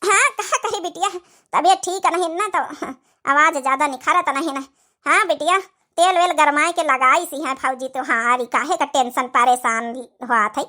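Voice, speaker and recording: A woman's voice: sits high, normal speed, pushed and bright. A woman voicing an ailing, self-sacrificing old village mother in an Awadhi comedy cartoon, plaintive and soothing. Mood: reassuring.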